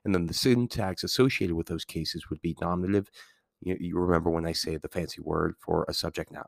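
Very deep, gravelly-voiced man podcasting. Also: fairly quick, dry recording, studio quality. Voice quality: calming, soothing voice. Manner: rambling, many fillers and restarts. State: getting over a cold. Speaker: podcast host and teacher. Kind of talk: casual solo podcast talk.

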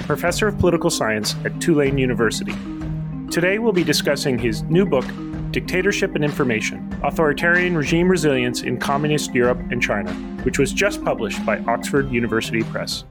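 A man hosting an interview podcast, speaking in measured, scholarly phrasing. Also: mid-range voice. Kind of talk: scripted introduction, then thoughtful interview questions.

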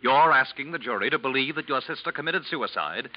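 An actor playing a hard, sardonic interrogator who presses with clipped accusations, in 1940s American radio drama style on a muffled recording. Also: male voice, mid-range voice, animated, brisk, lightly acted. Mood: sardonic, accusing.

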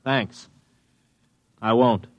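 A man's voice: medium pitch, fairly even intonation, drawn-out words, dull audio, over loud music. A man playing a headstrong, plain-spoken veteran spaceman in an old radio drama. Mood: impatient, defiant. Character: headstrong, defiant veteran spaceman, flight officer. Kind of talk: 1950s radio drama acting, first-person narration and dialogue.

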